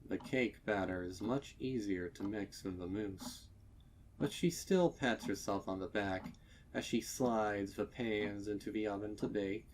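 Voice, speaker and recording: A man reading a scripted horror story as narrator, with deliberate, dramatic delivery. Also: on the low side, a little mellow, a little hiss or hum. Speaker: narrator of fan fiction readings.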